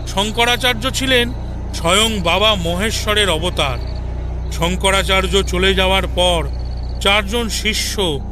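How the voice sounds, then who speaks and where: Man acting slow, high-pitched old ascetic sage. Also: noisy background. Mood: solemn storytelling. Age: older. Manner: solemn, measured, didactic. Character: old tantric ascetic sage, solemn, patiently instructive. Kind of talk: dramatized audio storytelling. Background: music.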